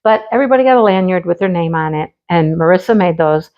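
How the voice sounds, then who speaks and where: A woman's voice: on the low side, expressive, fast, lo-fi sound. A woman from Michigan who is losing her voice, hosting her craft channel and chatting casually as she shows things off. She gushes often about things she loves. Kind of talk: casual show-and-tell chat.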